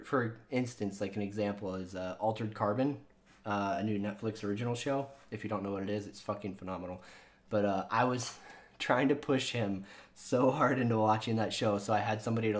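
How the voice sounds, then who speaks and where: A man hosting his own channel in casual chat with viewers, rambling and swearing with lots of fillers, his voice sounding younger than he is. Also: fairly low pitch. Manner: rambling and profane, with many fillers. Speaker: channel host.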